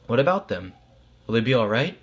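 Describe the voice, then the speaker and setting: A man's voice: medium pitch, very animated, fairly quick, lo-fi sound, close to an everyday voice. A bewildered middle-aged dead man in a storybook tale acted by a male reader. He sounds puzzled and questioning, in a mid-range male voice. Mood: puzzled, worried.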